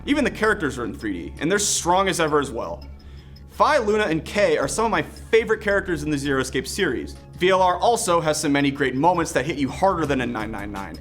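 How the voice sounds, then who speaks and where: A man's voice: a little high, fairly bright tone. A man narrating an enthusiastic scripted video game review. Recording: music playing behind the voice. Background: background music.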